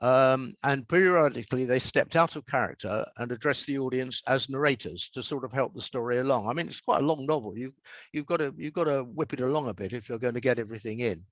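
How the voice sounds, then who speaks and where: A man's voice: medium pitch, quick pace, no room sound. Male, 70s, southern English. Interview guest, wry anecdotal delivery, close dry sound. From South London, England.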